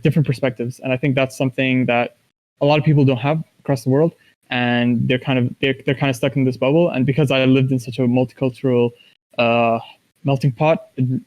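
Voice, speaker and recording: A man's voice: mid-range voice, darkish tone, low-quality recording. A man from Kuwait speaking casually as an interview guest, thinking aloud in short, broken phrases. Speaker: interview guest, esports professional.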